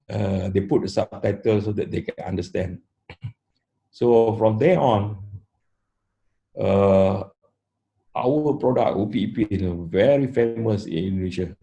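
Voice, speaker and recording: Malaysian man in his sixties, low gravelly voice, mellow tone, steady interview storytelling. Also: low-quality recording. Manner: unhurried, frequent "uh" pauses, plain matter-of-fact phrasing. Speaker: interview guest, company founder.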